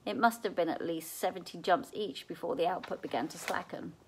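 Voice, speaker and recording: A woman reading a children's novel aloud in an expressive storytelling way, as at a library read-aloud. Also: on the low side, brisk, husky, rough recording.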